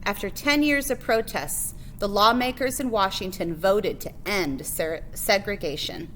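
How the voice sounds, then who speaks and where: A woman's voice: mid-range voice, a little slow, a bit bright, slight background noise, poor audio. A woman reading a children's picture book biography aloud as a librarian, phrase by phrase with emphasis on key words.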